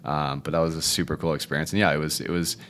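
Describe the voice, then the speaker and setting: Young male guest, Cantonese first language, extremely low voice, loose and chatty delivery. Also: quick pace, a little hiss or hum. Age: 20s. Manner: relaxed, rambling, fond of the tag "if you will, right?". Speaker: podcast guest, realtor. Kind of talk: casual interview chat.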